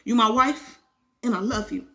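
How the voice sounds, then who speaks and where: A woman voicing a cheating husband who pleads with his wife, in a lowered, drawling voice. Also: moderate pace, gravelly, sharp tone. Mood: pleading.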